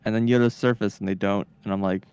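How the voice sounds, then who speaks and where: Casting: male game streamer, gravelly edge on a clear voice, casual, opinionated rambling delivery. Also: lowish voice, steady tone, rapid-fire, a little mellow, no echo. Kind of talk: casual chat over gameplay.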